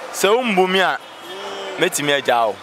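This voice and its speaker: Male vox-pop interviewee, Ghanaian accent, slightly high voice, emphatic, clear street noise. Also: sing-song, a little fast. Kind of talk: casual opinionated chat. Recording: noisy outdoor street recording.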